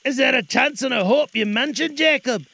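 A man's voice: extremely high pitch, lively intonation, measured pace, gravelly, bright, forceful tone, voice pushed up, exaggerated voice. A man voicing a frightened old male miser who talks in a faltering, pleading way.

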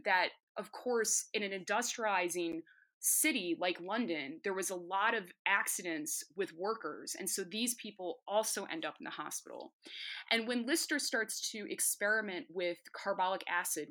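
A woman's voice: medium pitch, pushed and bright. A woman with a Chicago accent, an author answering interview questions in an articulate, storytelling way with frequent ums. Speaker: author guest. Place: home in the UK.

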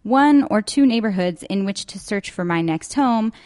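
A woman's voice: medium pitch, expressive, normal speed, darkish tone. A woman hosting a casual local podcast, talking chattily with frequent ums and uhs. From Denver. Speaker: podcast host. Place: downtown clock tower.

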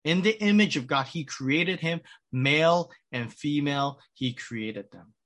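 A pastor delivering a sermon with an American accent, measured and pausing, with frequent restarts. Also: male voice, fairly high pitch, a bit bright. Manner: measured preaching with pauses and restarts.

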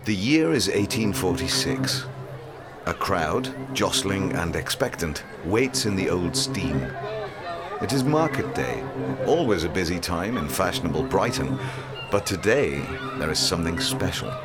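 A man with a low voice narrates a historical drama in a scripted, dramatic style. Loud music plays underneath him. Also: expressive, unhurried. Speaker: story narrator.

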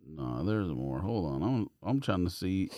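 A man's voice: low-pitched, big pitch swings, unhurried, mellow, no room sound. An American man co-hosting a podcast, chatting casually with teasing, slangy banter. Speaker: sneaker podcast co-host.

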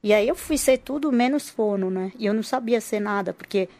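A woman's voice: mid-range voice, brisk, darkish tone. A woman from Rio de Janeiro, a podcast guest, talking casually with many restarts and laughs. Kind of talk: casual chat.

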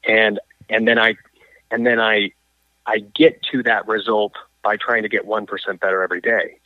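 A man's voice: lowish voice, flat delivery, no room sound. Coach guy calling in, thin phone-line sound, keeps restarting and saying uh. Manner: halting, many restarts and fillers. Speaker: guest, coach and athlete. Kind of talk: conversational storytelling in an interview. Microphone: phone or call line.

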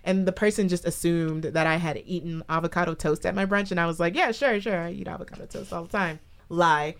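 A woman's voice: fairly low pitch, a little fast. Wry, teasing young woman, slangy. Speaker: podcast co-host. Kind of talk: casual chat.

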